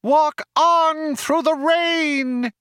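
A man's voice: high-pitched, sing-song, unhurried, bright, forceful tone, smooth, no echo, studio quality, pitched above the performer's own voice, heavily acted. A man voicing a relentlessly cheerful ship's computer in a nasal, whining voice, over-familiar and given to crooning. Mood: crooning, wailing. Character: chirpy, relentlessly cheerful male ship's computer, singing mawkishly.

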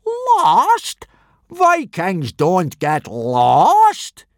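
A man puts on a bellowing Viking pirate teacher from a storybook, pitched up from his own voice and pushed loud. He drags his words out for weight, with a hearty, careless bluster. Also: very animated, unhurried, a little hiss or hum. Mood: booming. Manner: booming, bellowing.

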